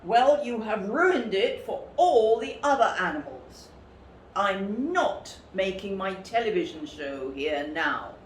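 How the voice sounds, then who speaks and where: A woman's voice: mid-range voice, animated, slow pace. A woman voicing an indignant, furious male television presenter in a storybook, scolding emphatically. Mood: indignant, huffy. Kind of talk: storybook character voice. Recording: roomy, distant mic, faint noise.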